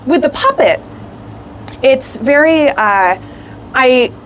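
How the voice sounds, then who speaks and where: A young woman who is a puppeteer and actor, answering interview questions in an expressive, rambling way with lots of fillers. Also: sits high, takes it slowly, slight background noise, rough recording. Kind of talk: casual interview.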